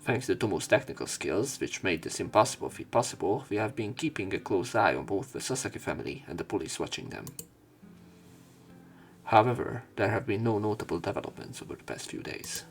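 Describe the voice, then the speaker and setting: A man's voice: fairly low pitch, animated, a little fast, faint music behind. A man reading visual novel text aloud as a let's play narrator, sometimes stumbling and rereading lines.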